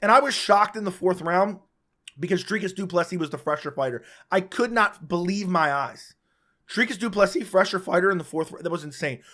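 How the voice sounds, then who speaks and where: Sick guy with a scratchy worn-out voice breaking down a fight, high-ish voice, chatty and opinionated. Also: lively intonation, pushed and bright. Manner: casual, opinionated, talks fast in bursts with restarts. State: sick, losing his voice. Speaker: MMA commentator, channel host. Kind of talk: solo post-fight recap to camera.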